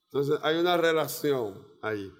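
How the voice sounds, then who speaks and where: Pastor, male, Portuguese accent, slow and deliberate, higher voice, long hall reverb. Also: animated. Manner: slow, emphatic preaching with repeated phrases. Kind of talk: sermon.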